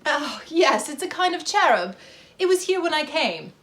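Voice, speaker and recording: A woman playing a prim, polite and easily flustered gentlewoman in a dramatized novel reading. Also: sits high, very animated, normal speed. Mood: eager, pleased. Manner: polite, genteel.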